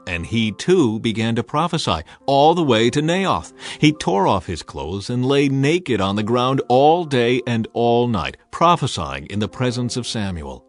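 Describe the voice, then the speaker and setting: A man narrating a dramatized scripture reading in a measured, solemn storytelling manner. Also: medium pitch.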